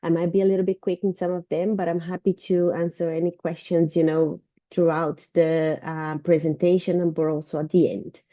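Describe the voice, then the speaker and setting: A woman's voice: fairly low pitch, flat delivery, moderate pace, mellow, dry recording. A woman with a Brazilian accent, sick and sounding a bit off, giving an informal presentation as a researcher over a video call. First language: Portuguese. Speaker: university researcher and lecturer presenting. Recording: dull, muffled video-call audio.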